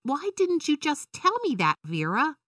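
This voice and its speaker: A woman's voice: high voice, huge pitch swings, unhurried, fairly bright tone, dry recording, clean studio sound, far from the mic, pitched above the performer's own voice, exaggerated voice. A woman voicing a curious, blunt young girl in a children's story, questioning and incredulous. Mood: surprised.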